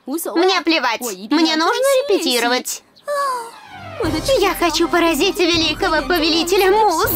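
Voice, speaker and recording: A woman voicing a haughty, short-tempered female snake musician in an animated cartoon, snappy and imperious. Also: extremely high pitch, a little slow, over loud music. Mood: dismissive, bossy.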